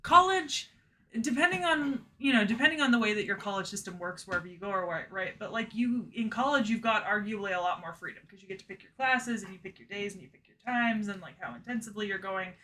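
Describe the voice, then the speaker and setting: A woman's voice: medium pitch, animated, fast talker, bright, forceful tone. A woman co-hosting a casual anime discussion, chatty and full of "like" fillers. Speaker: podcast co-host.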